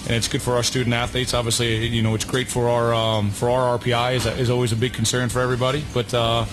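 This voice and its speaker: Fast-talking male coach, halting delivery. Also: mid-range voice, completely flat delivery. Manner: halting, repeats words. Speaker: college soccer coach. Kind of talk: answering media questions. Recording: noticeable background noise, outdoor event.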